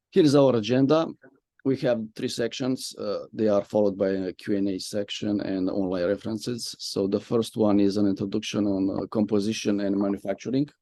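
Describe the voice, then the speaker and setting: Host-type man, low and mellow, dry close sound, video-call moderator with filler-heavy delivery. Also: dry recording. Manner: frequent "uh" fillers, friendly moderator. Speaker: host, media and communication lead. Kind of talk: webinar hosting.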